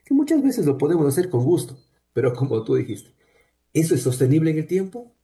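A man with an accent from Cuenca, Ecuador, a university researcher explaining things at a measured pace with frequent pauses as a guest in an interview. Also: on the high side, sing-song, dark tone. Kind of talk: conversational interview answers.

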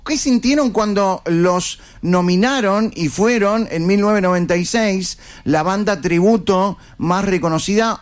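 High-pitched Argentine male interviewer. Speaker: interviewer, host. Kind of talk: informal conversational interviewing.

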